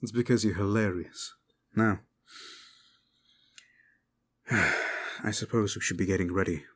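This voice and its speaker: A man's voice: lowish voice, moderate pace, fairly bright tone, slightly rough. A man playing a weary, modest and loyal knight-captain, sombre and earnest, in a fantasy audio drama. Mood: dry, fond.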